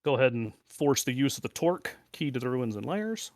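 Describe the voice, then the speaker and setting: A man's voice: medium pitch, big pitch swings, a little fast, no room sound, studio quality. A middle-aged man chatting casually as he plays a card game, talking through his moves and muttering to himself and the cards.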